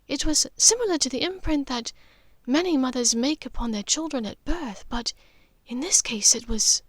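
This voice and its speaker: Audiobook role: refined middle-aged witch played by a woman, high-voiced, wistful, measured and expressive. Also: dry recording. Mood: solemn, hushed. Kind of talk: audiobook character dialogue.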